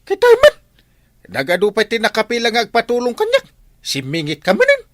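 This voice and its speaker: An exasperated village captain played by a man in an Ilocano comedy drama. His high voice swoops wildly up and down, with an Ilocano Filipino accent. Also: huge pitch swings, fairly quick, no room sound, clean studio sound, exaggerated voice. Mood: agitated.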